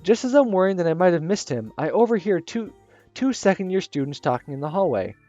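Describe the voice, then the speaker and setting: A man reading the inner thoughts of a shy, anxious one-eyed teenage girl in a visual novel, soft and hesitant. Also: sits high, sing-song, a little fast, dry recording. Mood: wistful. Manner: soft, hesitant narration with restarts. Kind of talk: first-person visual novel narration. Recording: slightly muffled with soft music.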